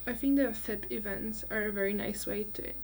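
A woman's voice: mid-range voice, moderate pace, darkish tone. Young woman, faint noise behind her. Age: young adult. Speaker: student panelist. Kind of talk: casual chat.

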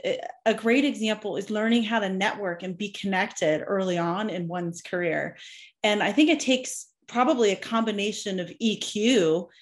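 A woman hosting a podcast, upbeat and encouraging as she introduces her guest and asks him questions. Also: medium pitch. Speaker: podcast host. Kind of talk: podcast interview.

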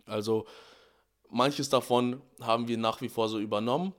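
A young man talks through a Bible passage for his podcast, his voice slightly worn by a cold. He sits at a middle pitch and explains things in a loose, conversational way, pausing and rephrasing as he goes. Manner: relaxed, explanatory, with fillers and restarts. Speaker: podcast host. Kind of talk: devotional podcast talk on a Bible verse.

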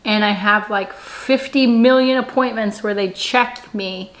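A woman's voice: mid-range voice, measured pace, rough recording. A woman in her thirties hosting her own channel, chatting casually and laughing often as she tells a personal story. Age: 30s. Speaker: channel host. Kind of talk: casual couple vlog chat.

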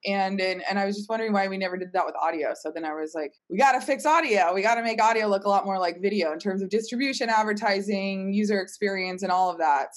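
A woman speaking casually as a startup founder in an interview, telling stories and voicing her own past reactions. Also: mid-range voice. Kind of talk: casual conversational storytelling.

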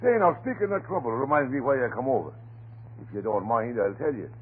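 An actor playing a chatty, stubborn off-duty police sergeant in an old-time radio drama, with a blustering, folksy way of talking. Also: male voice, medium pitch, big pitch swings, a little fast, slightly rough. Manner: blustering, garrulous, folksy turns of phrase. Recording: noisy, narrow-band vintage radio recording.

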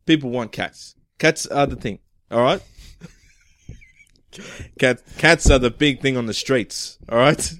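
A man's voice: mid-range voice, measured pace, pauses often, a bit bright, lightly acted. A man playing a shifty male street informant who hoards cats, rambling in wheedling street talk in an improvised comedy scene.

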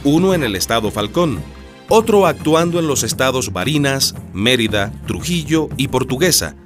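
An actor narrating a historical radio drama, as a storyteller of revolution. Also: male voice, mid-range voice, expressive, normal speed, close to an everyday voice. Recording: music bed under the voice.

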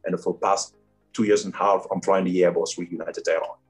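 A man's voice: low voice, flat delivery, brisk, soft background music. A man in his forties from Cameroon with a Cameroonian French accent, answering interview questions earnestly with frequent fillers. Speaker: airline first officer, guest.

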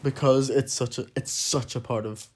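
A man's voice: mid-range voice, steady tone, normal speed, darkish tone. A young man talks casually as a co-host. He speaks loosely, full of fillers, and steers the conversation with his questions. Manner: chatty, many fillers, leads the questions. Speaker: podcast co-host. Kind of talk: casual chat.

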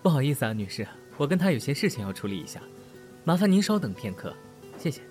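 A man's voice: mid-range voice, big pitch swings, fast talker, darkish tone, faint music behind, lightly acted. A man playing a jealous, bitter young rival who needles and mocks in an audio drama. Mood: smooth, sardonic. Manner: mocking, insinuating, needling. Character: jealous, sardonic young male rival, smooth-mannered but bitter.

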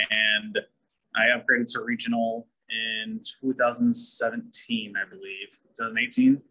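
A man's voice: medium pitch, pushed and bright, poor audio. A man, a referee guest, introducing himself in a friendly, upbeat way.

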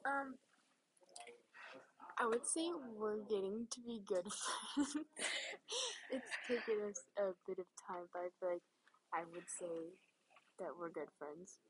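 Slow, hesitant woman, giggly, with crowd chatter behind. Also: medium pitch, rough recording. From USA. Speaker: interviewee, coworker. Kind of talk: casual interview answers.

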